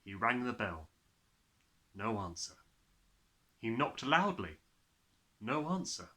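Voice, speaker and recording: A man reading a children's story aloud in an expressive narrating style. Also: medium pitch, slow. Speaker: audiobook reader.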